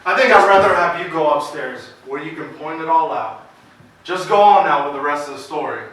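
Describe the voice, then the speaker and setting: A man's voice: a little high, lively intonation, brisk, fairly bright tone. A man acting a businesslike county attorney in an amateur stage play, questioning a witness in a formal, prodding manner. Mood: directing. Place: theatre stage, indoors. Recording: echoey stage from far off, faint noise.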